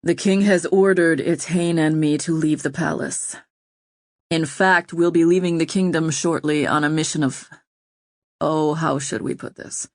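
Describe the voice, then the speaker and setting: A troubled nobleman speaking softly and ruefully, as a woman narrator puts him on. The voice sits low for a woman. The sound is dry and close to the mic. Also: fairly even intonation, no room sound. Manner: soft, measured, rueful. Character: troubled loyal nobleman, gentle and helpless. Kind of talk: audiobook reading.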